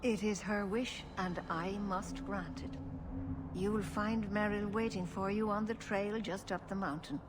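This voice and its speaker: A woman voicing a wise, motherly elven clan keeper in a fantasy game, speaking in gentle, measured, cryptic pronouncements. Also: medium pitch, steady tone, noisy background, lightly acted. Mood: grave.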